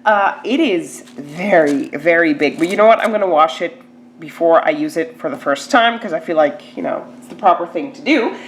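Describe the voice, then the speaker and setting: A woman's voice: on the low side, expressive, normal speed, a little hiss or hum. A woman with a stuffy nose from a cold, chatting casually to camera as she unboxes a beauty box, with lots of "like" and "um". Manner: chatty, filler-heavy, lots of "like" and "um".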